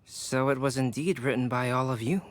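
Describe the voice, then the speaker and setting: An actor voicing a calm, reserved young man in a video game, understated and soft-spoken. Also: medium pitch, moderate pace, close to an everyday voice.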